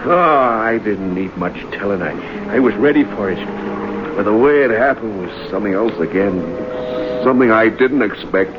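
A man's voice: fairly low pitch, lively intonation, moderate pace, close to an everyday voice. An actor playing an unhappy husband in love with another woman, in an old-time radio drama. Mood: resigned, confessional. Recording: muffled, noisy old radio recording.

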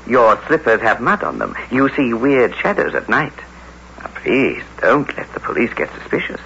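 A man's voice: mid-range voice, very animated, moderate pace, creaky, a bit bright. A man acting a condescending, exasperated husband in an old radio drama, patronising and clipped. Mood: impatient. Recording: dull old radio recording, faint noise.

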